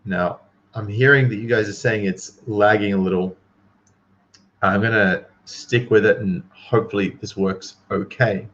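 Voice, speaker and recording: A man's voice: on the low side, steady tone, unhurried, fairly bright tone, muffled recording. A man giving casual, opinionated commentary to livestream viewers in an incredulous, emphatic, slangy manner.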